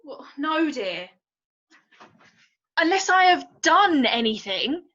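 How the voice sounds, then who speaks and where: A dull recording of a woman acting a timid, earnest young lady in a period stage drama. Her voice is high and bright, with a polite, hesitant manner that suits a gentle younger sister. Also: very animated, takes it slowly, full character voice. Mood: mild, timid.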